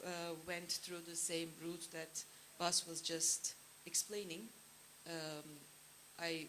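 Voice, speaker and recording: Woman, from Turkey, filmmaker, lowish voice, measured panel talk, hiss behind. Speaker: filmmaker and producer.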